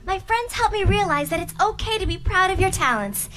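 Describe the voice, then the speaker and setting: A woman's voice: very high voice, expressive, brisk, a bit bright, faint music behind. A woman voicing a studious, earnest young female unicorn reading out a heartfelt letter at a live script reading. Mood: sincere.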